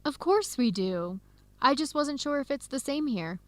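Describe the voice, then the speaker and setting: Friendly young American student, lightly high-voiced. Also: female voice, lively intonation, a little slow, dry recording. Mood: interested. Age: young adult. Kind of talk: educational radio drama acting.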